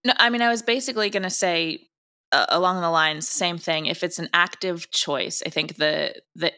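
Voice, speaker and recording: A woman's voice: lowish voice, steady tone, normal speed, bright, forceful tone. A woman chatting casually as a guest in an interview about creative work.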